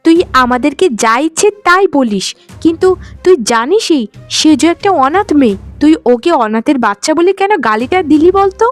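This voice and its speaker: A woman's voice: high voice, expressive, moderate pace, no room sound. A woman voicing a protective, righteous elder sister-in-law in a cartoon, speaking in a firm, reproachful, concerned way. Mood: stern, reproachful. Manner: coaxing yet firm, reproachful. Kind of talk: melodramatic cartoon voice acting. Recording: music behind the voice. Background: music.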